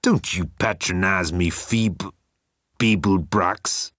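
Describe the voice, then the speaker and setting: A man's voice: low-pitched, takes it slowly, a bit bright, no room sound. A man voicing a pompous, self-pitying god who guards a bridge, easily offended and declamatory, in an audiobook. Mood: sulky, indignant.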